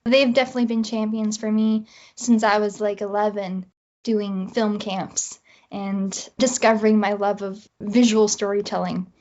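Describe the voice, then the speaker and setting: A woman's voice: mid-range voice, flat delivery, moderate pace, darkish tone. A woman with a Canadian accent chatting casually as a guest writer in an interview. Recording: dull lo-fi audio.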